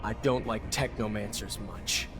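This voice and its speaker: An actor playing a cynical veteran soldier in a video game, gruff and blunt in manner. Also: male voice, on the low side, unhurried, natural voice. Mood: grim, resentful. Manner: gruff, sardonic, blunt, swearing. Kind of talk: video game dialogue acting. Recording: music behind the voice.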